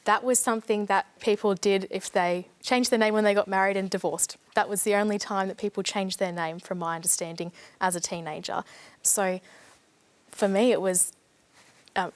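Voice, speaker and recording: A young adult woman, a studio guest, speaking earnestly and reflectively about her past. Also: mid-range voice. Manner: earnest, reflective, speaking softly at hard points. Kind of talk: studio discussion.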